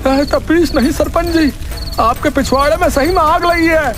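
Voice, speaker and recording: A vengeful, cheeky cartoon crook from the village, put on by a man. The voice is high and whiny with excitable, rustic delivery. Clear music runs underneath. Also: normal speed. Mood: whiny, flustered. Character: scheming, vengeful village crook, cheeky hot-headed male sidekick. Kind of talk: cartoon moral-story acting.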